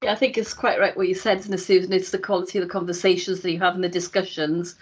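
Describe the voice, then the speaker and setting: A woman's voice: on the low side, level tone, fast talker, breathy edge. A woman interviewing a guest in a friendly, encouraging manner. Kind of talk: interview questions. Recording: dull lo-fi sound.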